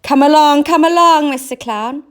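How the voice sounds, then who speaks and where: Woman playing female storybook cat, brisk, clever, slightly bossy, raised pitch, helpful. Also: natural voice. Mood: brisk, cross. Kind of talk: children's storybook character voice.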